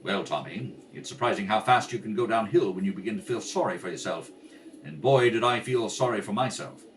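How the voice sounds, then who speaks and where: A man plays a gentlemanly butler from a 1930s radio comedy. He speaks politely and with measured care, his pitch moving freely. It is a home recording, slightly distant, with faint noise. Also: expressive, lightly acted. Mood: rueful, earnest. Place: kitchen table at home.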